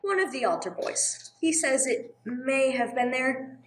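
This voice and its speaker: A woman playing a gentle, earnest, sorrowful nun in a radio play, speaking with some hesitation. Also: high-pitched, animated, a little slow. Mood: earnest, helpful. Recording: clear background noise, room ambience.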